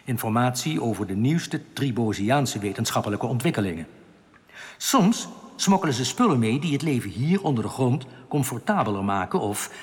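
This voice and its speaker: A man's voice: mid-range voice, very animated, moderate pace, close to an everyday voice. Solemn rebel played by a man in cavernous reverb. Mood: grave explaining. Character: solemn male underground rebel giving grave warnings. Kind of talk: radio drama acting. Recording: long echoing reverb.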